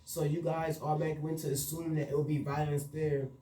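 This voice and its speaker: A man's voice: a little high, level tone, quick pace, echoey room, far from the mic, a little hiss or hum. A young man, a party promoter speaking earnestly in a radio interview. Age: young adult. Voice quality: soft voice. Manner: earnest, frustrated storytelling with frequent fillers.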